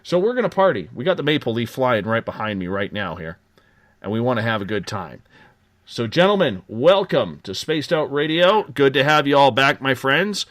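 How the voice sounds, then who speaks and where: A man's voice: medium pitch, sing-song, moderate pace, a bit bright, dry recording. Upbeat man hosting radio. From Canada. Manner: upbeat, joking promotional patter. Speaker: radio show host. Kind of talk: live late-night radio talk.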